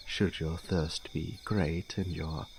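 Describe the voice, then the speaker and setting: A man performs an urbane young lord from a fantasy audio drama, teasing and archly formal. His deep voice moves slowly, with a creaky edge. Faint insect noise sits behind him. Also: steady tone, heavily acted. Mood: sly, barbed. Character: teasing, urbane young nobleman, elder brother in fantasy court.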